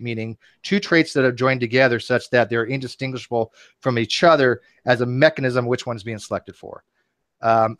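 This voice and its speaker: A man's voice: mid-range voice, dry recording. A man in a casual philosophical discussion, explaining his points argumentatively as a guest debater.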